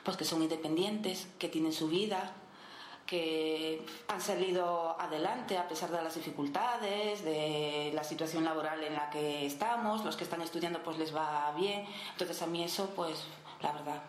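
A woman, a caregiver answering radio interview questions in a warm, halting way with many restarts. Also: low voice, a little hiss or hum. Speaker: interviewee, caregiver in a children's home. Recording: echoey room.

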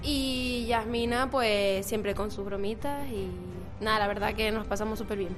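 A woman's voice: mid-range voice, a little slow, music in the background. A young woman from Tenerife, chatting casually as an interviewee about her team. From Tenerife, Canary Islands, Spain. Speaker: interviewee, camp video team member. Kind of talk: casual chat.

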